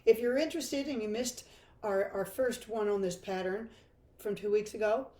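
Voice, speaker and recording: An older woman with a hoarse, froggy voice, teaching a chatty guitar lesson live from her home music room. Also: mid-range voice, moderate pace, warm tone, reverberant. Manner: chatty, self-deprecating, laughs easily. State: hoarse from laryngitis.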